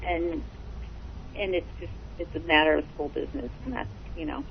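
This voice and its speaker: Brisk woman on a muffled phone line. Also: low voice, vocal fry, slight background noise. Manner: brisk, noncommittal. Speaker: school office staff taking a call. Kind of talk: informal phone conversation.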